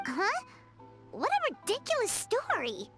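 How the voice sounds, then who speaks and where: A woman playing a small, chatty, childlike fairy companion in a video game, with a squeaky, expressive voice. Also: unhurried, over music, heavily acted. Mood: indignant. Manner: refers to itself in third person, expressive. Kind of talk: video game character acting.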